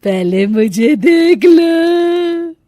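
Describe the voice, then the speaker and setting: A woman voicing a menacing, mocking witch in a cartoon horror-comedy story, with taunting, drawn-out threats. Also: very high voice, expressive, mellow, no room sound, studio quality, pitched above the performer's own voice, exaggerated voice. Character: menacing, mocking female witch who escaped from a painting.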